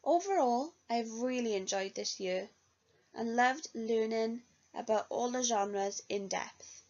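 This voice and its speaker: A woman's voice: fairly high pitch, slow pace, darkish tone. A young woman, a music student, reading an earnest reflection on her year of singing study. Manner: earnest, read-aloud delivery. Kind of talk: reflective spoken self-review. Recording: dull lo-fi recording.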